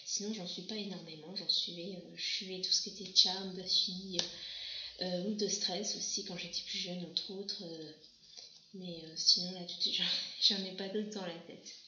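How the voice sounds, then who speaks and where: A woman in her thirties talks about herself in a halting, casual way, stopping often on drawn-out fillers. Her voice is bright and clear. The sound is roomy and a little dull. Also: fairly low pitch, steady tone, a little hiss or hum. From southwest France. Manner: hesitant, many pauses and "euh" fillers. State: pregnant. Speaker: vlogger. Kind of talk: casual self-introduction vlog.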